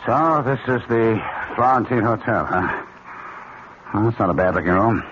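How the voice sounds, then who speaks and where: An actor playing a married ad agency colleague in a radio drama, with a casual, colloquial delivery. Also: male voice, fairly low pitch, expressive, normal speed, noisy background, close to an everyday voice. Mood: reserved, doubtful. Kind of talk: 1970s radio drama acting. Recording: muffled radio recording with music behind.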